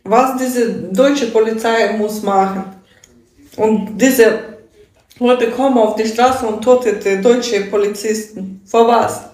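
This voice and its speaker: A young woman in her twenties from Ukraine, with a Ukrainian accent, ranting casually on a livestream in halting, broken German, often asking "weißt du?". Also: a little high, steady tone, darkish tone. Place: indoor room with a sofa. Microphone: phone at arm's length. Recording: roomy phone recording.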